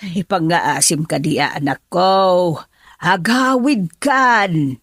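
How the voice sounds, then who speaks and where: An actress playing a worried mother-in-law who speaks softly and pleadingly, with a breathy voice, in a radio drama. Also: on the low side, takes it slowly, no room sound, studio quality, full character voice.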